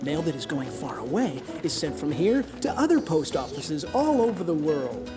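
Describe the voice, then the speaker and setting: Friendly kids cartoon mailman, kindly explainer, really animated, music under him. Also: a little high, normal speed. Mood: cheerful, explaining. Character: friendly, helpful postal worker showing a child around. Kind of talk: children's cartoon acting.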